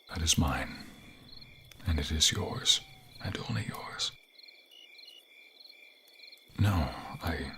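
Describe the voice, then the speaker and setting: A man performs a tender fantasy king in an intimate ASMR role play. His voice is low and gravelly, often breathy, with courtly, formal phrasing. Faint night insects sit behind him. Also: fairly bright tone, slight background noise, heavily acted. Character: tender young king confiding in his secret lover.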